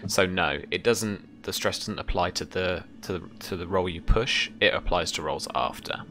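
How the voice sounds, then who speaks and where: A man's voice: sits low, moderate pace, bright, forceful tone. A young man running a tabletop game, casually explaining rules and details as game master.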